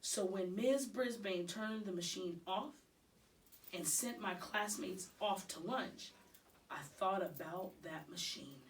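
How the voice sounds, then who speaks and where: Slow-reading woman, distant mic. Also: mid-range voice, slight background noise. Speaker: storybook reader. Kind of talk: expressive read-aloud of children's novel.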